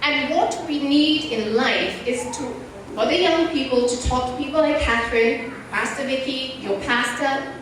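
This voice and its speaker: A woman gives a motivational talk to young people in a church, telling stories conversationally and addressing her listeners directly. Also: high voice. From born in Nairobi, Kenya, partly raised abroad. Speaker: guest speaker and mentor. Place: large echoey indoor church space. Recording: very echoey hall, distant mic, faint noise.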